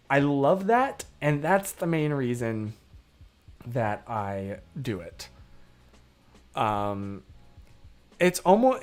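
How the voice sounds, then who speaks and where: Hoarse young man, rambling stream chat. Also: a little high, darkish tone. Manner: rambling, loses his train of thought; laughs easily. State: hoarse, voice worn out. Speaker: livestream host. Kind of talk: casual livestream chat, answering comments.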